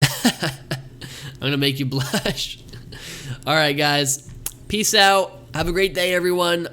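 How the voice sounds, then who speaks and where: A man's voice: on the high side, steady tone, slow pace, sharp tone. A young man hosting a casual live stream, rambling and thanking viewers often while his voice wears out. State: losing his voice. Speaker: livestream host.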